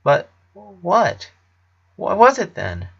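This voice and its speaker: A man's voice: high-pitched, huge pitch swings, extremely slow, creaky, breathy edge, muffled recording, pitched above the performer's own voice, heavily acted. A man voicing a naive, nervous young boy with an eager, anxious manner, in a story reading. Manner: eager, anxious, stammering when alarmed. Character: Clyde, nervous, worried, naive young boy, loyal friend.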